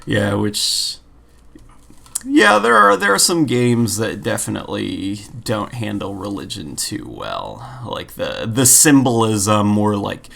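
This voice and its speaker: A man co-hosting a casual podcast, rambling through jokey, animated stories and asides. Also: lowish voice, slow pace, vocal fry. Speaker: podcast co-host.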